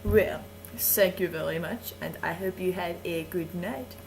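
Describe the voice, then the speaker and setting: A woman voicing a vain, flirtatious adult Frenchman who reads verse aloud in a slow, suggestive way. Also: on the low side, fairly even intonation, gravelly, a little mellow, soft background music, low-quality recording. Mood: sultry. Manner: slow, lingering, suggestive delivery with chuckles. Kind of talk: playful dramatic reading of verse.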